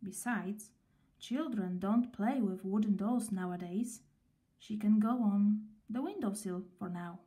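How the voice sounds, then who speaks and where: A woman voicing a brisk, matter-of-fact mother of a little girl in a children's story. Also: medium pitch, warm tone, close to an everyday voice. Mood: dismissive.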